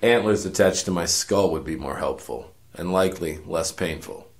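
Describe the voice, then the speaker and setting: An actor playing a cynical, world-weary detective, narrating a noir parody radio drama in a wry, self-important deadpan. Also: male voice, low voice, moderate pace. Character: cynical world-weary hard-boiled male detective narrating his case.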